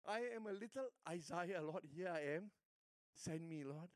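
Older Singaporean pastor preaching. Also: male voice, sits high, moderate pace, no room sound, studio quality. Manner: storytelling, swings between hushed and emphatic. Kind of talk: sermon.

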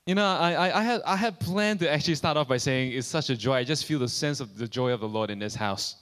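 A man's voice: fairly high pitch, quick pace, a bit bright. A middle-aged man, a visiting preacher speaking in short phrases that leave room for an interpreter. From Singapore. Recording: reverberant church hall.

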